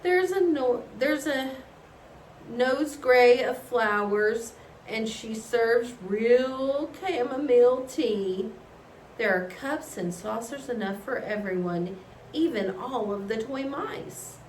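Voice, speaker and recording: A woman reading aloud to children like a teacher, expressive and encouraging. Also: on the high side, takes it slowly. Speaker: story reader, teacher. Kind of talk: read-aloud storytelling for children. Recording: echoey room, faint background hiss.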